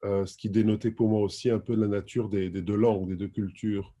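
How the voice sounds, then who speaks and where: Middle-aged guy, low voice, very dark tone, stops and starts a lot. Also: level tone, a little fast. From France. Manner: thoughtful, hesitant with frequent fillers. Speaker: guest writer and publisher. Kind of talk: conversational interview answer.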